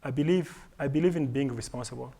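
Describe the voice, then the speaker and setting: A man speaking English as a second language, giving an earnest, halting answer on a conference panel, often repeating and restarting his words. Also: mid-range voice, warm tone, husky. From Africa. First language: not English; speaks French and Swahili. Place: conference hall.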